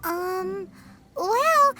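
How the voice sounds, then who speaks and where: A woman voicing a small childlike sidekick in an anime game, eager, helpful and naive, with a chirpy, expressive delivery. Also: extremely high pitch, very slow, full character voice. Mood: tentative, sympathetic.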